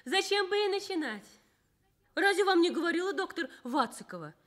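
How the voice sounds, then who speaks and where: A sweet earnest young nurse, high voice, insistent and tender, old soviet radio play. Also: female voice, lively intonation, a little fast, fairly bright tone. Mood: earnest reassurance. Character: young nurse, warm, earnest, playfully scolding, in love. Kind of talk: Soviet radio drama acting.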